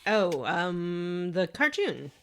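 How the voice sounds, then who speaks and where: A woman's voice: on the low side, big pitch swings, extremely slow, clean tone. Woman, podcast co-host, very varied intonation, casual teasing chat.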